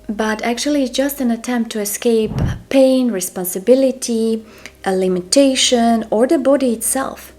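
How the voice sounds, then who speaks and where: A woman from Croatia with a Croatian accent, a spiritual mentor talking earnestly and deliberately to camera. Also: fairly high pitch, a little mellow. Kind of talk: talking-head monologue to camera.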